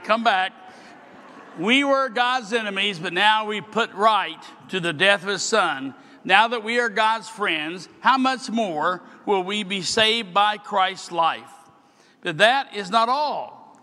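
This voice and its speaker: A man's voice: sits high, pushed and bright. Older man preaching in a reverberant hall. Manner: earnest preaching, slowing on scripture. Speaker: bishop. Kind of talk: sermon keynote address. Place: large hall at a podium. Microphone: podium microphone.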